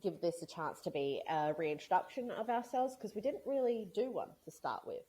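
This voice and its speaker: A woman's voice: mid-range voice, expressive, moderate pace, mellow, low-quality recording. A woman in her thirties co-hosting a podcast, chatting casually, rambling and laughing often. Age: 30s. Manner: giggly, rambling. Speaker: podcast co-host. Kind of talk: casual chat.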